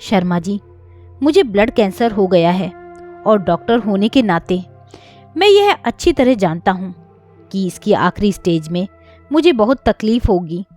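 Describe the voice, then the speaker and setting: A woman's voice: medium pitch, very animated, measured pace, a little mellow, faint music behind. A woman voicing an earnest, devoted adult son, a doctor, in a plain-spoken storybook telling. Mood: sorrowful, earnest.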